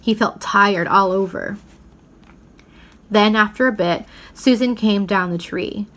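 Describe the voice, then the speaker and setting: A woman's voice: mid-range voice, slow, fairly bright tone. Woman reading a children's adventure story aloud. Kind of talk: storybook read-aloud narration.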